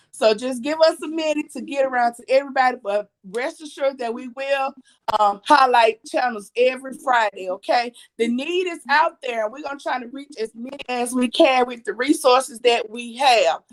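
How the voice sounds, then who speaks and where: Woman host, raspy and high-pitched, chatty and repetitive with her audience. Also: rough recording. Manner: chatty, repeats herself, reads viewer comments aloud. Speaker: livestream host. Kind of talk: casual livestream talk.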